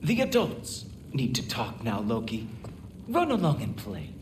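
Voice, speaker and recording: Smug game villain's servant, condescending, very slow, wildly varied intonation, noisy ambience. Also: male voice, mid-range voice, huge pitch swings. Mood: smug, disdainful. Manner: condescending, deliberate, drawling. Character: smug, scornful servant of a god-king. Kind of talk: video game cutscene acting.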